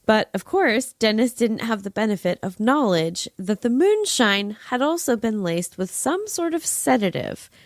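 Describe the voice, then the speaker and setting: A woman podcast host with a very lively, varied pitch and a close, dry sound. She reads scripted narration in a wry, slightly ornate way, then slips into casual, playful asides. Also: measured pace, few pauses, dry recording. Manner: wry, playful narration with old-fashioned flourishes. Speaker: true-crime podcast host.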